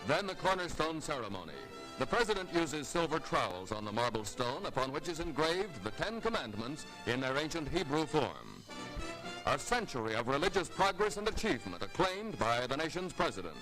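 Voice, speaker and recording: A man's voice: fairly high pitch, very animated, a little fast, low-quality recording. A man with an American accent reading formal, declamatory 1950s newsreel narration. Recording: vintage newsreel soundtrack with music behind. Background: music.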